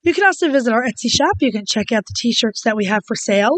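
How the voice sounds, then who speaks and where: Woman, hoarse voice, a little high, matter-of-fact, dry humor. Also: expressive, fast, fairly bright tone. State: hoarse, recovering from illness. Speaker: podcast co-host. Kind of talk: casual chat. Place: small home studio, at a table.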